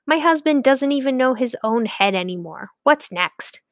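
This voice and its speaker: Woman as exasperated village wife, dramatic, high voice, exclaiming, children's storybook. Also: expressive, moderate pace, no echo. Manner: groaning, exclaiming.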